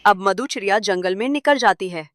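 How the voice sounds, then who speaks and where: A woman's voice: mid-range voice, brisk, fairly bright tone, slight background noise. A woman narrating a scripted children's story.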